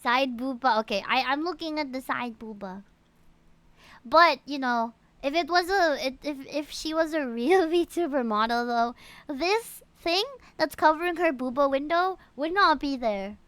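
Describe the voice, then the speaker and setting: A woman with a Filipino accent doing casual livestream game commentary in a gushing, excitable manner. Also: high-pitched, measured pace, dry recording. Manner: gushing, excitable, reads game dialogue aloud. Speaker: VTuber livestreamer. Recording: game music behind the voice. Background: game music.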